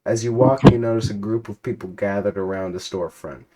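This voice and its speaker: A man giving a comic dramatic reading of a story, in a deadpan manner, sometimes stumbling and rereading lines. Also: lowish voice, brisk, heavy background noise. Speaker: reader.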